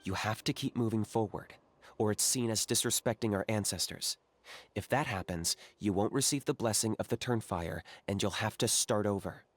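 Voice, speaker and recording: A man voicing a calm, practical young warrior in a video game, giving instructions in a cool, level way. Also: fairly low pitch, moderate pace.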